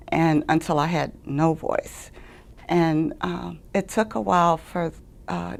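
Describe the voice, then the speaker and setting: A middle-aged woman, giving an earnest personal testimonial as a patient in measured words. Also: on the low side, gravelly, a little mellow.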